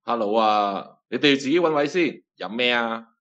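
A man's voice: mid-range voice, expressive, moderate pace, a bit bright, highs cut off, close to an everyday voice. A man with a Hong Kong Cantonese accent playing an easygoing, burly bartender who used to be a gangster, telling stories. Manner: easygoing, storytelling. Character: laid-back burly male bartender, ex-gangster. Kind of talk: Cantonese radio drama acting.

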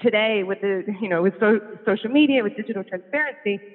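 A man's voice: high-pitched, quick pace. Kiwi guy on a tinny phone line with a long echo, laughs a lot. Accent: New Zealand. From New Zealand. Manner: chatty, laughs often, many restarts. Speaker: podcast guest, consultant. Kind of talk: casual interview talk.

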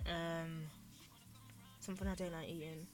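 A woman's voice: fairly low pitch, flat delivery, a little slow, faint music behind. A woman, making dry teasing remarks in casual chat with friends. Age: 20s. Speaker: podcast co-host.

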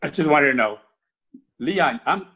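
A man's voice: on the high side, lively intonation, measured pace, pushed and bright. A middle-aged man from Cuba, an artist answering interview questions in a casual, rambling way with many restarts.

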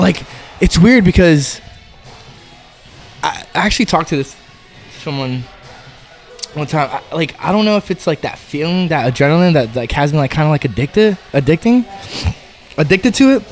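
A young male guest in his twenties whose first language is Spanish. His voice sits a little high, and he talks loosely and easygoingly, with many "like"s. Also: lively intonation, normal speed, long pauses, soft background music. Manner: easygoing, laughs, lots of "like" and "yeah". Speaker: guest fighter. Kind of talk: casual interview answers.

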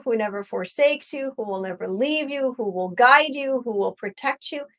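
A woman hosting a conversational faith interview, speaking in an effusive, affirming way. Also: a little high. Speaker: interview host.

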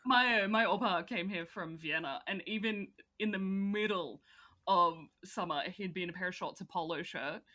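A woman's voice: on the low side, normal speed. A young Australian woman hosting a podcast, chatting in a bubbly way with frequent laughs. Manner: bubbly, animated, laughs often. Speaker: podcast host. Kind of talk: casual chat.

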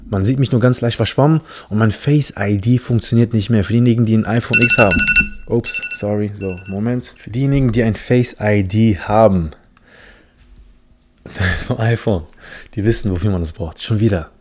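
A man's voice: fairly low pitch, moderate pace, background noise. A man from the Philippines hosting a casual solo podcast, telling a story in a rambling, self-mocking way with many restarts. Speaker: podcast host.

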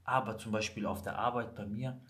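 A man's voice: on the low side, brisk. A man with Italian roots talking bluntly and emphatically in a casual conversation, telling stories from his own life. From Italy. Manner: emphatic, blunt, animated storytelling.

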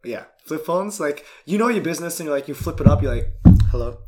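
A man who works as a coach, chatting on a podcast in an easygoing way with halting restarts and many fillers. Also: a little high, animated, a little mellow. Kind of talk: casual chat.

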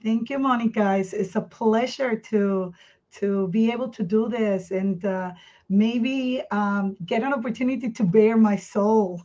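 A woman's voice: on the high side, dark tone, muffled recording. Earnest woman in her fifties. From Puerto Rico. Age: 50s. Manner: candid, earnest, speaks in halting restarts. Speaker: guest. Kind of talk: personal life story in a podcast interview.

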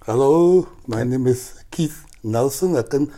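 A man's voice: mid-range voice, a little slow, mellow. A man in his seventies with a Guyanese accent, reflecting in conversation as an interview guest, with emphatic repetition. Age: 70s. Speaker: guest, church elder.

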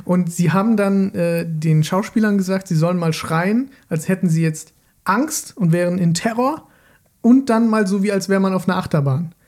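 A man's voice: sits high, normal speed, a little mellow. A man talking casually about films as a podcast panelist. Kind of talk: casual film chat.

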